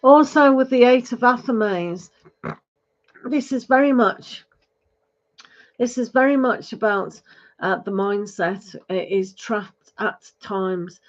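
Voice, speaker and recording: Woman reading tarot on a live stream, soft advice, kinda losing her voice, a bit muffled. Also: on the high side, takes it slowly. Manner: gentle, advising, many fillers and restarts. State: losing her voice, clearing throat. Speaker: tarot reader.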